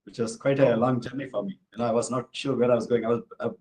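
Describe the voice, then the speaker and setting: A man's voice: medium pitch, steady tone, quick pace, darkish tone. A man from India answering questions after a lecture, explaining each step with many "you know" and "uh" asides. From Bangalore, India. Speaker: invited speaker, genomics professor. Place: office hall with an audience.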